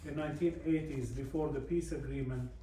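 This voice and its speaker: A Palestinian man in his forties with an Arabic accent, a native Arabic speaker, giving an informal personal talk in a halting way with repeated words. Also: mid-range voice, fairly even intonation. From Palestine, West Bank. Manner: halting, often repeats words and adds "you know". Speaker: speaker from a peace organisation. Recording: very echoey room, distant mic, faint noise.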